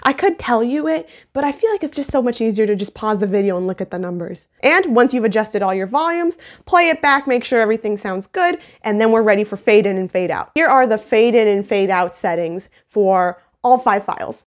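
A woman's voice: medium pitch, expressive, fairly quick, few pauses. Young woman giving a playful tutorial. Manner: playful, self-deprecating humour. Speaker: tutorial host. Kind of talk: casual scripted video tutorial. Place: small indoor home room. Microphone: phone on a mount, about arm's length or a little more.

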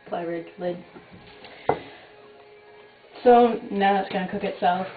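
A woman's voice: medium pitch, unhurried, music in the background. Young woman hosting a kitchen cooking show. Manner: wry, self-mocking, offhand asides. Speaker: home cooking show host. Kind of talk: casual jokey cooking demo. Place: small home kitchen at night. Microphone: fixed camera mic across the kitchen. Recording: echoey, tinny, noisy home camcorder audio.

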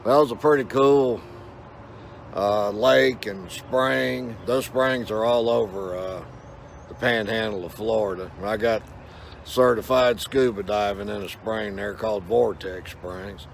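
An older man around sixty talks in a lowish, gravelly voice full of creak. His delivery is casual and conversational, like someone rambling to a phone held at arm's length. There is outdoor noise around him. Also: unhurried. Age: older, about 60. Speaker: vlogger. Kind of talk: casual rambling vlog talk to camera. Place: outdoors, in a yard or by water. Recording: outdoor ambient noise and hum.

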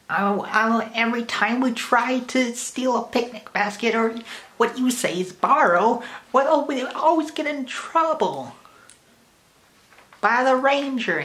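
A young man voicing a nervous little cartoon bear sidekick in a high, strained voice. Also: pushed and bright, heavily acted.

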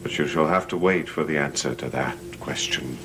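An actor playing a brooding, fatalistic gentleman in a gothic soap opera, solemn, weary and theatrical. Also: extremely low pitch, moderate pace. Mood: resigned, brooding. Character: brooding, fatalistic cursed gentleman resigned to his fate. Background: loud dramatic music.

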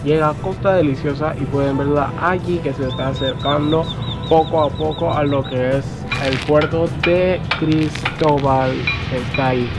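Young man, slightly high pitch, slow halting delivery, casual outdoor vlog, music behind. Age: young adult. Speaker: vlogger. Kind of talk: casual vlog presenting to camera. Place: outdoors on a rooftop above a city.

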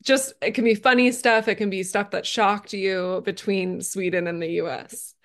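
A woman hosting a podcast, asking questions in a relaxed, friendly way. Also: mid-range voice, normal speed. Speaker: podcast host. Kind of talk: casual interview chat.

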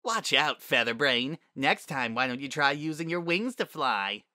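A man's voice: mid-range voice, lively intonation, moderate pace, bright, forceful tone, dry recording, clean studio sound, voice pushed up. A man voicing a mocking, haughty schoolyard bully unicorn in a narrated story.

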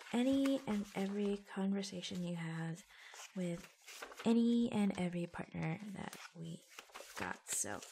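A woman playing an attentive, affectionate office assistant in a soft-spoken ASMR roleplay, breathy and hushed, with gentle hesitant pauses, close to the microphone. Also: medium pitch, noisy background.

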